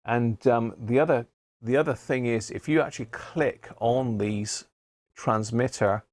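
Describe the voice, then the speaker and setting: A man's voice: mid-range voice, a little slow, a little mellow, no room sound. A man hosting a casual live stream, explaining things informally and without hurry as he demonstrates his hobby.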